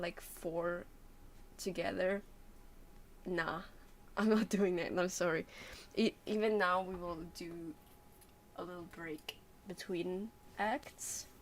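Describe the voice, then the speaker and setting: A woman's voice: mid-range voice, a little hiss or hum. A young adult woman chatting casually on a game livestream, hesitant and rambling as she thinks aloud. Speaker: game streamer.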